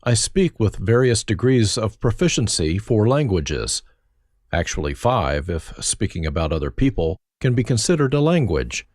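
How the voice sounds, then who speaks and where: A man reads his own life story, his low voice rising and falling with a lot of movement. His humor is dry and self-mocking. The sound is dry and close, with no room around it. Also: sing-song, normal speed, darkish tone, dry recording. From Germany. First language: German. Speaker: autobiography narrator. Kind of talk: reflective first-person memoir reading.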